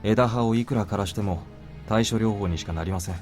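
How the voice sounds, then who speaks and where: A man acts out a visual novel soldier with a lowish voice who talks extremely fast. He is restrained and businesslike, blunt yet deferential, with music behind him. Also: darkish tone, lightly acted. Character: matter-of-fact soldier bodyguard, deferential but blunt. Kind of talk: Japanese visual novel voice acting. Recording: game music under the voice.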